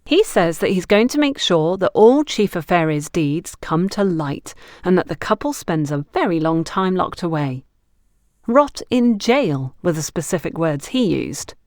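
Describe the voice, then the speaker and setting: A woman voicing a composed, protective woman in audiobook dialogue, speaking in a measured, earnest and firm way. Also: on the low side, animated, a little mellow, dry recording, studio quality. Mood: wry.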